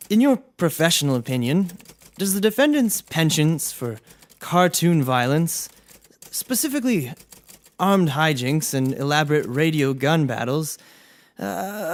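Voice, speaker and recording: A man's voice: sits high, no echo. A man playing a smug, theatrical hotshot prosecutor in a scripted comedy, asking formal dramatic questions.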